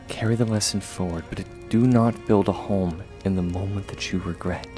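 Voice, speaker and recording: A man as a deep, slow, gentle swordsman mentor. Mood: earnest reassurance. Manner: soft, reassuring, measured, often near whisper. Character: gentle, steadfast young swordsman mentor comforting a friend. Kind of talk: comfort ASMR roleplay.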